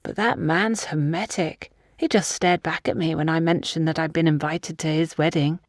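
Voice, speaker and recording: A woman's voice: deep voice, steady tone, moderate pace, no room sound, clean studio sound. A woman voicing an insistent, gossipy older woman in an audiobook, emphatic and confiding. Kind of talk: audiobook character dialogue.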